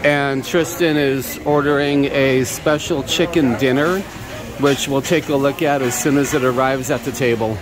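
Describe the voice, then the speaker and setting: A man's voice: mid-range voice, steady tone, normal speed. A man hosting a casual food review vlog from a restaurant table, chatty and upbeat, speaking from behind a handheld camera. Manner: upbeat, chatty, simple praise words. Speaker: vlog host. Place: busy restaurant dining room. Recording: restaurant music and crowd noise. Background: background music and diners chatting.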